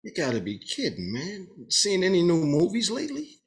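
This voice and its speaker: A man's voice: high voice, huge pitch swings, moderate pace. A man playing an eager, jittery police inspector from Detroit in a comedy table read, chummy and seeking reassurance. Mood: incredulous.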